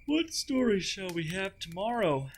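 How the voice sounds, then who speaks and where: Man playing an excitable, bossy young child, high-pitched. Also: unhurried, a little hiss or hum. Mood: impressed, sleepy. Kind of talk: dramatized children's read-aloud.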